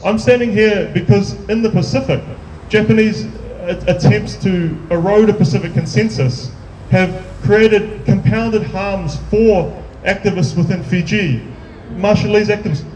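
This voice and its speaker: A man's voice: sits high, measured pace, darkish tone. A man speaking as an activist at a protest rally. He is earnest, grows more intense as he goes, and restarts his phrases. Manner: earnest, builds to raised intensity, repeats words when restarting. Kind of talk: rally speech. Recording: echoey, distant, faint background noise.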